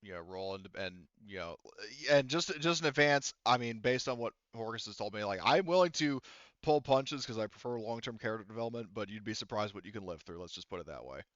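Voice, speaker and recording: A man with a clear, smooth voice runs a tabletop game as game master. He talks casually and haltingly as he works out the rules. The sound is very dry and slightly dull. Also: lowish voice, animated, a bit bright, dry recording. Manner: halting, thinking aloud, casually profane.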